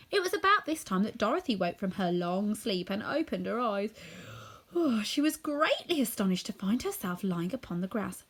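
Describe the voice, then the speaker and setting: A woman narrating a children's storybook as a reader. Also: fairly high pitch.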